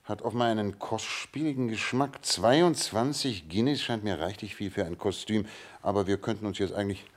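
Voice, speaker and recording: An actor playing a shrewd, courteous private detective in a German radio play, pensive and probing in manner. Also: male voice, on the low side, normal speed, lightly acted. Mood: intrigued. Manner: pensive, probing, polite, murmured acknowledgements.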